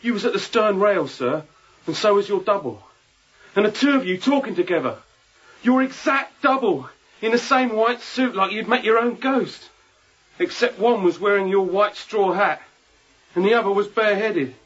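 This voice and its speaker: High-voiced, husky, contrite sailor. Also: male voice, moderate pace, dull audio. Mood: awed, earnest. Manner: earnest rambling working-sailor speech, deferential. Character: contrite, frightened sailor pleading after a drunken night. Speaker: ship's crewman. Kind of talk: radio drama acting.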